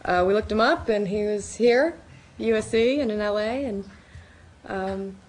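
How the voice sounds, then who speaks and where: A woman's voice: medium pitch, unhurried. A woman from Chicago giving informal, anecdote-filled answers at a panel discussion. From Chicago, United States. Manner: conversational, quotes people in anecdotes. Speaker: documentary producer. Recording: live event with faint noise.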